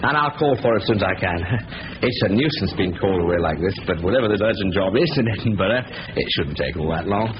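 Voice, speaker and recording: An actor playing a cool, sly, confident bank thief with playful patter in a 1970s radio drama. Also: male voice, low-pitched, expressive, quick pace. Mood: polite, plausible. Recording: muffled, hissy old radio broadcast recording.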